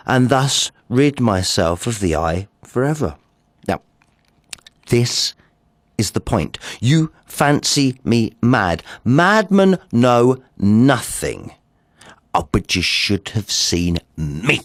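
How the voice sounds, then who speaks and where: Mad confessing killer, Gothic storybook narration, low voice, slow deliberate pace, theatrical, insisting he is sane. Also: no room sound, full character voice. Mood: manic, insistent. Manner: theatrical, drops into breathy whispers, swings to excited outbursts. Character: nervous madman murderer confessing, insisting on his sanity. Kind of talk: dramatic Gothic short story reading.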